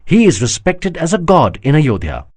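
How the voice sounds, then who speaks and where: A man reading an audiobook, voicing a sharp-witted proud woman who teases and mocks gently. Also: on the high side, huge pitch swings, normal speed, pitched above the performer's own voice. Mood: exasperated. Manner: teasing, gently mocking. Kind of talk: audiobook character voice.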